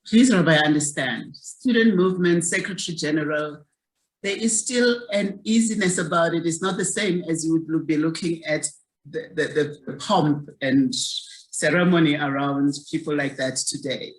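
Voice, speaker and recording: A woman's voice: sits low, normal speed, rough recording. A woman in her sixties from South Africa, a community activist telling her story in an interview in an earnest way, often repeating words as she searches for them.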